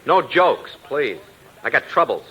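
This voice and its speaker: Aggrieved American comic pal, scheming and self-pitying, sharp-toned, swinging delivery, 1950s radio. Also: male voice, mid-range voice, sing-song, measured pace, breathy edge, heavily acted, low-quality recording. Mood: wounded, aggrieved. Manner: melodramatic, whining complaint. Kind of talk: 1950s radio comedy acting.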